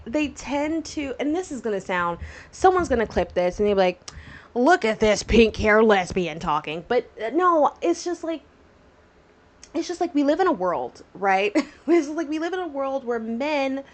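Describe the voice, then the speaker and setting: A woman in her twenties hosting a solo podcast, talking casually and rambling through tangents with many fillers. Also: sits high. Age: 20s. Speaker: podcast host.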